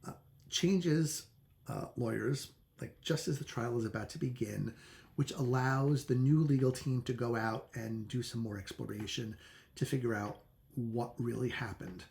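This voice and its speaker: Gravelly-voiced man casually reviewing a book. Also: medium pitch, darkish tone. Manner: halting, self-correcting, conversational.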